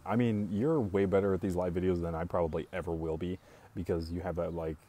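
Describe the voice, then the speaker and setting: A young man hosting a casual live stream, talking in a calm, even monotone, slow and reassuring toward his viewers. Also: sits low, a little hiss or hum. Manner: speaks slowly and reassuringly to viewers.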